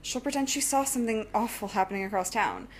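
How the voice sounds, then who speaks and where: A woman's voice: fairly high pitch, fast talker, natural voice. Driven young supervillain woman acting, noisy recording. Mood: defensive, frustrated. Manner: insistent, evasive, earnest. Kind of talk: audio drama dialogue.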